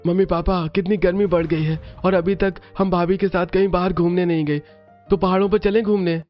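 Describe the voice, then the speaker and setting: A man's voice: sits high, fairly even intonation, a little fast, highs cut off, faint music behind, voice pushed up, natural voice. A man voicing an eager, playful young brother-in-law in a cartoon story.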